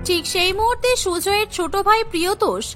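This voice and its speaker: A woman's voice: very high voice, lively intonation, fast, a bit bright, soft background music. A woman narrating a scripted cartoon story.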